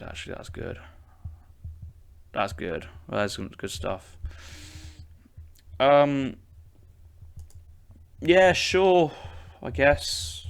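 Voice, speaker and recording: A man's voice: mid-range voice, gravelly. A young man casting a strategy game tournament, breaking down units and matchups as he thinks aloud. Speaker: esports caster.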